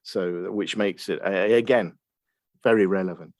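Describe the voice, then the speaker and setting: Halting scholarly man, lowish voice, dry sound. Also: a little mellow, no echo. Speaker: podcast guest, Chinese literature expert. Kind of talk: explanatory interview talk.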